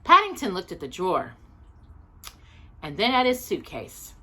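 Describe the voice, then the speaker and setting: A woman reading a children's storybook aloud as an expressive narrator. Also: on the low side, a little slow, breathy edge.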